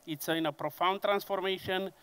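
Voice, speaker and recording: A man in his fifties from Romania, speaking English with a Romanian accent as a non-native speaker, giving an industry conference presentation in a careful, halting way with frequent pauses and fillers. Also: high-pitched, steady tone, a bit bright, clear, resonant tone. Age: 50s.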